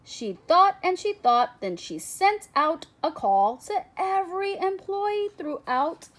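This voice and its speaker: Slow, high-voiced woman reading a storybook. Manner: deliberate, expressive storytelling. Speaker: storybook reader. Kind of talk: read-aloud children's picture book narration.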